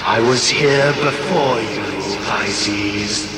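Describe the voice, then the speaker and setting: A man playing a sinister, ancient spirit of darkness in an audio drama, speaking with slow menace. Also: on the low side, distant mic. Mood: ominous. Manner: slow, ominous. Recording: echoing voice under loud music.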